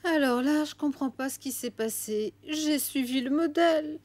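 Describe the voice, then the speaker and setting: A woman playing a brisk, cheerful elephant mother in a cartoon dub, expressive and motherly. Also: high voice, lightly acted. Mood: puzzled. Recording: music behind the voice.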